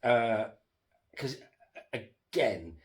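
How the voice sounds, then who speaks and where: A man co-hosting a casual home podcast, rambling with lots of fillers and restarts, his voice going hoarse. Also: mid-range voice, lively intonation, extremely slow. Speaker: podcast co-host reviewing a TV series. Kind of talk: casual chat.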